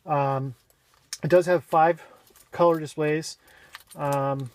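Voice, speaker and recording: A man giving a casual, unscripted walk-around explanation in a plainspoken, halting way, pausing mid-phrase, recorded on a handheld camera mic around his vehicle. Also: a little high, fairly even intonation. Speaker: vlogger showing his own vehicle radio install.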